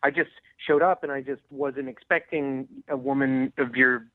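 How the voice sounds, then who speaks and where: A man calling in by phone, talking casually in a halting, awkward way. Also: mid-range voice, steady tone, no echo. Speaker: caller. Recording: narrow, tinny phone line.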